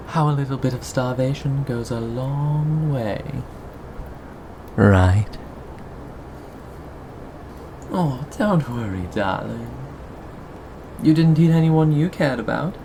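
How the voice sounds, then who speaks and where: A performer of unclear sex playing a sadistic, possessive cannibal captor in an ASMR roleplay, lingering on words with mock tenderness and soft menace. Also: mid-range voice, noisy background. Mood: mock-pitying, taunting. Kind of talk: ASMR roleplay, scripted monologue to listener.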